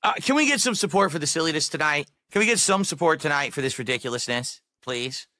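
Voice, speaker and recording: A man banters playfully on a livestream in a silly, sing-song manner. His voice is highish and bright, swinging widely in pitch. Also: lively intonation, fast talker, no echo, clean studio sound.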